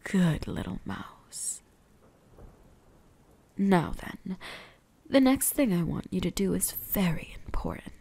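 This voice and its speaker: A woman's voice: lowish voice, huge pitch swings, mellow, exaggerated voice. Woman playing a whispering, seductive vampire huntress. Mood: hypnotic, commanding. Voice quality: breathy, whispery, husky. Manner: slow, teasing, commanding. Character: seductive, menacing, possessive vampire huntress toying with prey. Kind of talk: whispered ASMR roleplay.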